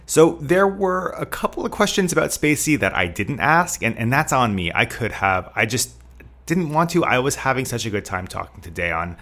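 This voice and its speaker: A man's voice: mid-range voice, big pitch swings, normal speed, fairly bright tone. A middle-aged man hosting a podcast, talking in an informal, conversational way. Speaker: podcast host, interviewer. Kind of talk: casual podcast introduction and chat.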